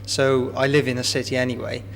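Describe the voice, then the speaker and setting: Brief: man, flat gravelly delivery, hesitant, noisy hum behind. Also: medium pitch, a little fast. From Wales. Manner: hesitant, searching for words. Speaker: interviewee. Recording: background hum.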